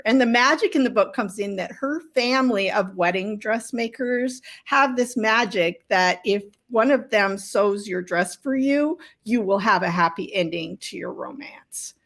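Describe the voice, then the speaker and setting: A woman's voice: on the high side, fairly bright tone. A middle-aged woman talking casually and enthusiastically as a guest author in an interview. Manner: effusive, enthusiastic. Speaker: guest novelist and writing coach.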